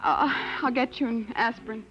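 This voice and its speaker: An actress playing a frightened young wife in a 1950s radio drama, anxious and pleading. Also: female voice, a little high, fairly even intonation, normal speed, natural voice. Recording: dull old radio recording with music underneath.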